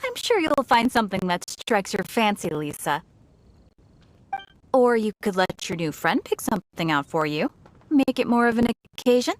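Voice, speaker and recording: A woman's voice: mid-range voice, sing-song, normal speed, close to an everyday voice, poor audio. A woman voicing a friendly, persuasive souvenir shopkeeper with chirpy, coaxing sales patter in an anime game. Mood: welcoming.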